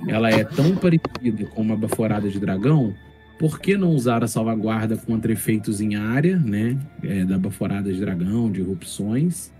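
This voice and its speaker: A man's voice: on the low side, dark tone. A man with a Brazilian accent talking casually on a podcast in a reflective, explanatory way. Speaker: tabletop gamer, podcast speaker. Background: faint music.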